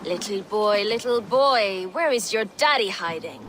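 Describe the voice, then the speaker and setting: A woman's voice: medium pitch, expressive, measured pace, background noise, close to an everyday voice. An actress playing a cruel, mocking Viking woman raider who taunts with a sing-song delivery, in game audio. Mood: taunting.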